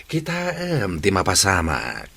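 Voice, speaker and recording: A man's voice: low voice, wildly swooping pitch, measured pace, bright, forceful tone, exaggerated voice. A man with an Ilocano accent playing a confident, conspiratorial village buddy who coaches his friend in a comedy radio drama. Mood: instructing.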